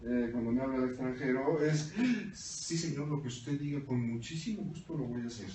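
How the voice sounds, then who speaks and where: A man's voice: mid-range voice, moderate pace, dark tone, a little hiss or hum. A Mexican man co-hosting a casual panel chat, telling wry stories with a Mexican Spanish accent. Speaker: co-host. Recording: very echoey room, mic at a distance.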